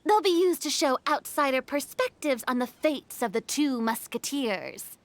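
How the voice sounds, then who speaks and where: Bossy young female director, very high lively voice, self-important, theatrical, video game acting. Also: measured pace, slight background noise. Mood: brisk, commanding. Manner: theatrical, commanding. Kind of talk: acted video game dialogue.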